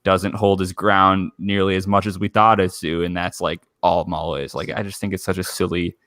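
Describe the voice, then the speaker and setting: Podcast panelist, male, fairly deep voice, emphatic casual fan talk, dry sound. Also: little pitch movement, moderate pace, a bit bright, no echo.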